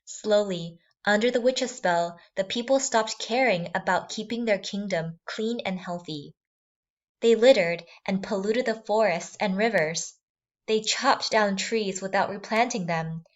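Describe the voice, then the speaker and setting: A woman's voice: mid-range voice, a little slow. A woman reading a scripted fairy tale for children as a storyteller. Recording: slightly dull sound, music bed.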